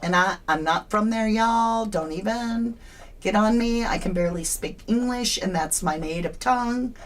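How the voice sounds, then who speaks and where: A woman's voice: medium pitch, normal speed. A woman hosting a true crime live stream, chatting casually and greeting her viewers by name. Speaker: true crime livestream host.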